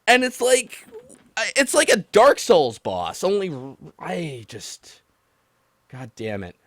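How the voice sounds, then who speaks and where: A man with a fairly high voice hosts a casual livestream. His pitch jumps around in an animated way as he rambles and swears in exasperation. The sound is dry, with hardly any room to it. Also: slow pace, no echo. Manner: exasperated, animated, rambling, mimicking others in mock quotes. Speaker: podcast and livestream host taking callers. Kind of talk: casual profane ranting about a video game.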